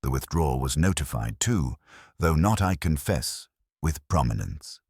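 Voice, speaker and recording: Man reading as a prim, low-voiced parish secretary. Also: animated, a little slow, creaky, a little mellow, breathy edge, no room sound, studio quality, full character voice. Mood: precise, formal. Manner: precise, grudging, formal. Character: prim, exacting female parish record keeper.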